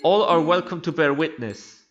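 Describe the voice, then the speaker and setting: A high-voiced man performs a voiced story playthrough of a video game. He is not a native English speaker and reads the lines theatrically, with game music clearly playing behind him. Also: normal speed, muffled recording. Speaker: amateur game playthrough narrator.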